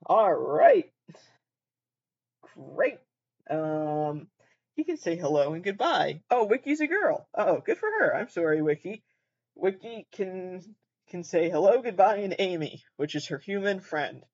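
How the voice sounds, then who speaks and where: A man's voice: high voice, very animated, unhurried, breathy edge, dry recording, dull audio. A man hosting a podcast in a very nasal voice, rambling casually, swearing freely and laughing at himself. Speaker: podcast host.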